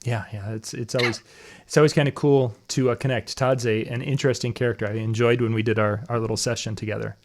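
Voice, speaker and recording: Relaxed chuckling male interview host. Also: medium pitch, quick pace. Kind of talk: casual live interview.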